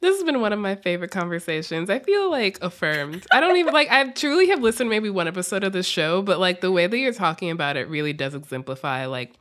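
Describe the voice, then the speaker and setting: A woman with a slightly low voice that moves up and down a great deal. She hosts a podcast casually, in an informal and effusive manner. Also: sing-song, fast talker, hardly any pauses, a bit bright. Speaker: podcast host. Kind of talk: casual conversational hosting.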